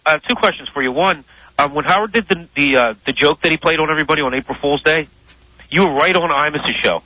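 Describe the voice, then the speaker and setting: Male caller, slightly high voice, quick, halting, casual, muffled dry line. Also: no echo. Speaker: radio caller. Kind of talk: casual call-in talk. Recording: muffled dry phone-in recording.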